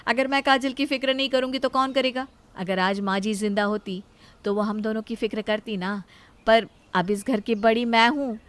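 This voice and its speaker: A woman voicing a caring, anxious elder sister-in-law in a moral story, gentle and pleading. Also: fairly high pitch, fairly even intonation, moderate pace, natural voice. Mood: earnest, protective. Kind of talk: Hindi moral story character dialogue.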